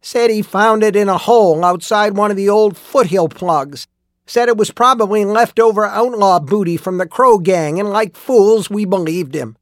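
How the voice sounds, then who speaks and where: A male narrator plays an old miner in a storybook, his voice pushed high and loud with rage. He hurls accusations with heavy emphasis and rough, foul-mouthed fury. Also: normal speed, dry recording, clean studio sound, natural voice. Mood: bitter, furious. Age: older. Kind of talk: audiobook character acting.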